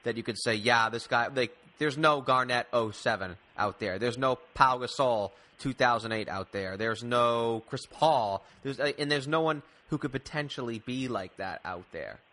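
Man, forceful bright voice, casual sports talk, emphatic, repeats phrases for stress. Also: mid-range voice, normal speed, hardly any pauses.

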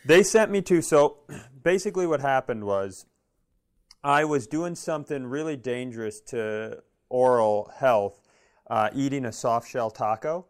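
A male co-host chats on a comedy podcast in a mid-pitched voice. He tells stories loosely and jokes dryly at his own expense. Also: unhurried. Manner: self-deprecating, dryly joking. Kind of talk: casual comic chat and storytelling.

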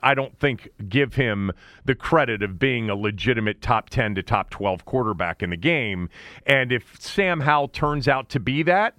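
A man's voice: medium pitch, fairly bright tone, no echo. Dry-sounding man hosting sports talk. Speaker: sports talk show host.

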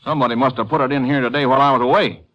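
A man's voice: mid-range voice, fairly even intonation, rapid-fire, a bit bright, natural voice, rough recording. An American man playing an indignant, folksy rural Texan protesting his innocence in a 1950s radio western. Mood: defensive, insistent. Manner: folksy, defensive. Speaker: supporting actor in a radio drama. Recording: vintage 1950s radio recording.

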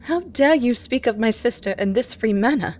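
A woman's voice: on the high side, animated, moderate pace, pitched above the performer's own voice. A woman doing an indignant, firm young woman.

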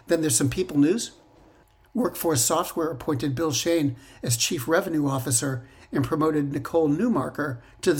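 A male podcast host reading a weekly roundup of business technology news in a measured, scripted newsreader delivery. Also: on the high side.

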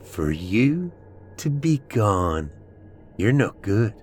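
A possessed man with a deepish voice and a very slow, blank delivery, as a male narrator acts him. He is hungry, menacing and eerie, a horror audiobook figure. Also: sing-song, darkish tone, a little hiss or hum, heavily acted. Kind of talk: horror audiobook character acting.

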